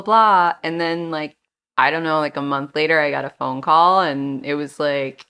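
Deep-voiced New York woman, laid-back. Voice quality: deep, low voice that sounds flat. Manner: casual storytelling, self-deprecating, many "um" and "like". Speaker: actress, podcast guest. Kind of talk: casual interview chat.

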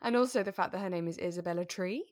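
Woman chatting casually on a podcast, lowish voice, no room echo. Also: rapid-fire, darkish tone, studio quality. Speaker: podcast co-host. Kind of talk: relaxed casual chat about a radio drama.

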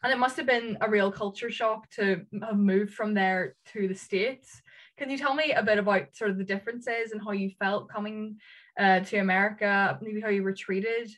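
A woman's voice: mid-range voice, steady tone, normal speed, fairly bright tone, poor audio. Woman host asking chatty questions. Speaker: podcast host. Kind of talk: casual interview chat.

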